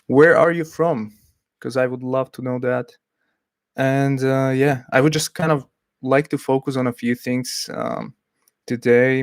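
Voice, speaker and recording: A man's voice: medium pitch, no echo, studio quality. Man chatting on a livestream. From Slovakia. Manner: hesitant, chatty, reading out chat messages. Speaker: livestream host. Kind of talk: casual livestream chat.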